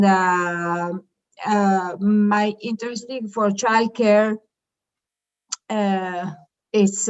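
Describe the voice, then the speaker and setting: A middle-aged woman gives an informal business presentation in English, haltingly searching for words. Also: mid-range voice, lo-fi sound. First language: not English. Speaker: childcare founder presenting her business.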